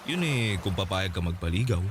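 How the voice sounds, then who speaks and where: A man's voice: sits low, animated, a little fast, noisy background. A man with a Filipino accent playing a patient, sincere young suitor in a Tagalog radio drama, speaking in a conversational way. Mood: sincere, eager.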